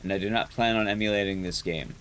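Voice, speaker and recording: A young man with a loud, carrying voice chatting casually with his viewers while streaming a game, rambling and restarting sentences as he goes. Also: fast talker, slight background noise. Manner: rambling, restarts sentences, lively rises and drops in pitch. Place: his room at home, soundproofed.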